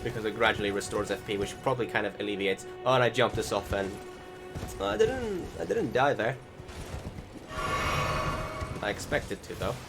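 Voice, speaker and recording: A man's voice: fairly low pitch, lively intonation, moderate pace, pauses often. Rambling young man narrating gameplay. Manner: rambling, many fillers and restarts. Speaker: gameplay commentator. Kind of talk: casual voice-over commentary on gameplay. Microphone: desktop recording setup. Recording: game music behind the voice.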